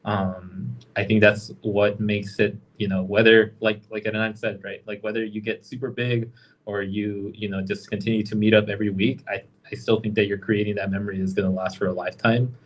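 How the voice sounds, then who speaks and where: An easygoing man with a fairly low voice, chatting as a guest on a casual podcast. He is from Los Angeles and talks through his ideas reflectively, often tagging on "right?" and "you know". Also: little pitch movement, brisk, rough recording. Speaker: guest game creator.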